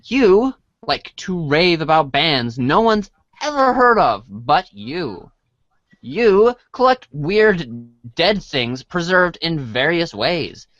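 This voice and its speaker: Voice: medium pitch, very animated, slow pace, a bit bright, no echo, dull audio. A person reading a comic script aloud as storybook narration, sometimes stumbling over lines and apologizing. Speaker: reader of a read-along storybook show.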